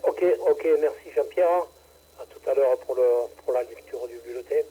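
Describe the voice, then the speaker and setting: Dark husky man on ham radio. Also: medium pitch, moderate pace, poor audio. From southern France. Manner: hesitant, many "euh" and "hein" fillers. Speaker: net controller of a ham radio net. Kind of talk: casual on-air radio chat.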